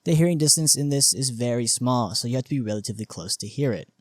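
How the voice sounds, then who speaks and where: A man's voice: medium pitch, fast talker, a little mellow, no room sound, studio quality. A man hosting a video, narrating a scripted explainer on game design with informal asides. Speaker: video creator and host. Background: music behind the voice.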